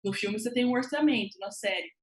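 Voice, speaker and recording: A woman with a Brazilian accent speaking as a guest writer on a panel, telling her story informally and acting out remembered remarks in an animated way. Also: a little high, fast talker. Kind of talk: conversational personal account on a panel.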